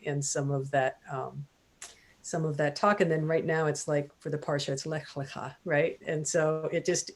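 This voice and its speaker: A woman author talking thoughtfully about a book. She hesitates often and pauses with fillers. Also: sits low, steady tone. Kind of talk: casual literary conversation.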